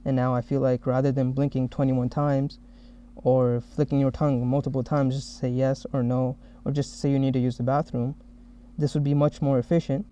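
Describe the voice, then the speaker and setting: A man narrating a software demo as the developer who made it, explaining each step. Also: mid-range voice, level tone, fairly quick, slight background noise. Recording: dull, muffled lo-fi audio.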